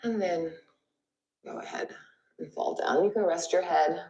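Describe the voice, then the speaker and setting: A woman's voice: fairly low pitch, unhurried, mellow, highs cut off. A woman leading a yoga class, giving step-by-step cues in an encouraging, conversational way. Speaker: yoga instructor. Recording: roomy echo with music behind. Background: music.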